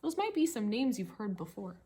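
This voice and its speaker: A woman teacher reading a rhyming picture book aloud to children in a singsong way, pausing with short asides to engage her listeners. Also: mid-range voice, big pitch swings, dark tone.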